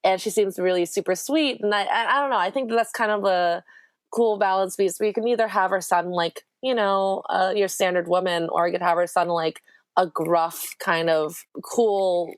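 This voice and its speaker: A woman's voice: medium pitch, steady tone, normal speed, no room sound. A woman chatting casually on a podcast, hesitant and filling her speech with "like.". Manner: hesitant, lots of "like". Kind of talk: casual chat.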